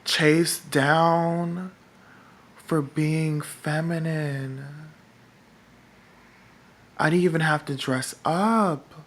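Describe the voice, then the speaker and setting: A man with a feminine-sounding voice, talking in a rambling vlog monologue that is halting and full of fillers and restarts. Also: a little high, fairly even intonation, fairly bright tone, slight background noise. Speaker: political vlogger. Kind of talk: casual monologue to camera.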